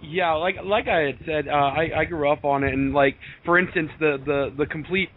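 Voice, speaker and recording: A man's voice: a little high, steady tone, normal speed. A young man conducting a casual podcast interview, hesitant and often repeating his words as he goes. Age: young adult. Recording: tinny phone-like sound, faint noise.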